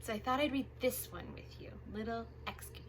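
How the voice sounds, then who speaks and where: A woman reading aloud to young children as a storytime host, expressive and sing-song, drawing out the sound words. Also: a little high, quick pace, background noise. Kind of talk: animated picture-book read-aloud for young children.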